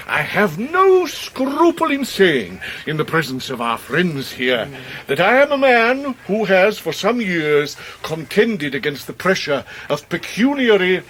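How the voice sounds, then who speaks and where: An actor with an English accent playing a pompous, genial, hard-up middle-aged Victorian gentleman in a radio drama, with florid, theatrical delivery. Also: a little high, exaggerated voice. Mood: grandly confiding. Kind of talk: 1990s British radio drama acting. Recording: noisy radio drama recording.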